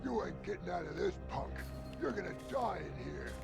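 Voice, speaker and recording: An actor voicing a taunting, bossy street thug with a gravelly voice in a video game. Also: male voice, high-pitched, big pitch swings, normal speed, loud music behind the voice, full character voice. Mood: gloating menace. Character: taunting male street thug, keeping his partner in line. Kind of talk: video game cutscene acting.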